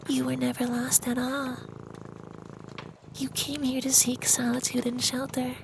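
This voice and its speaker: Casting: actor for a gentle femboy vampire, very even, creaky, whispery ASMR roleplay voice, faint noise behind. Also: sits high, unhurried. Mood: tender. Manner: hushed, breathy near-whisper, lingering delivery. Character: gentle, sweet, centuries-old femboy vampire.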